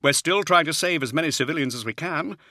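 A man voicing a wiry male army colonel who reports in a loud, strained voice. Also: fairly high pitch, quick pace, no echo, studio quality, voice pushed up. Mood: urgent. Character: urgent male soldier colonel, wiry, shouting.

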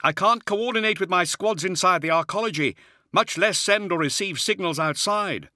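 A man voicing a wiry male army colonel who reports in a loud, strained voice. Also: high-pitched, moderate pace, no room sound, clean studio sound, pitched above the performer's own voice. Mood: urgent. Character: urgent male soldier colonel, wiry, shouting.

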